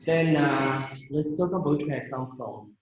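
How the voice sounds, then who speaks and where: Slow, halting young Kenyan man. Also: medium pitch, roomy sound, slight background noise, low-quality recording. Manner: halting, many fillers and restarts. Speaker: team member presenting himself. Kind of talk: casual slide presentation.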